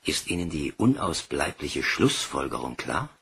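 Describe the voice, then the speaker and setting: Commanding bank director, very low and expressive, deliberate delivery, crime radio drama. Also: male voice, gravelly, heavily acted. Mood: questioning, firm. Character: authoritative bank director, stern but loyal to staff. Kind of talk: German crime radio play acting.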